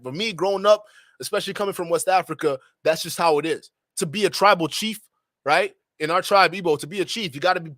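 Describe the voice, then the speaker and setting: A young man from Nigeria hosting a live stream, giving an animated, preachy monologue with slangy asides. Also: sits high, no echo, clean studio sound.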